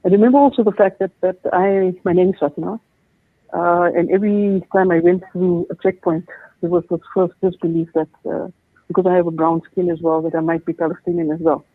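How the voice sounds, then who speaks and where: A woman's voice: fairly low pitch, steady tone, no room sound. Woman on a tinny line. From South Africa. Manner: halting, many restarts and repeated words. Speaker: guest. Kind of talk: personal testimony in an interview. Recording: tinny dry phone-like line.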